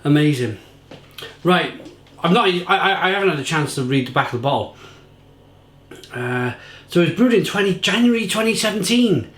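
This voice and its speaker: A man talks a beer through to camera with rambling enthusiasm, circling back and repeating himself. His voice sits a little high and has gone slightly croaky. The room around him gives a noticeable echo. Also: animated, normal speed, a bit bright. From England. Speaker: beer reviewer. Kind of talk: casual tasting review to camera.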